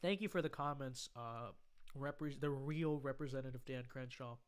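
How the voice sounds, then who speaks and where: A young American man hosting a casual livestream, chatting and reading out viewer comments in a halting way that often repeats phrases. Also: medium pitch, clean tone. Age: young adult. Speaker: livestream host.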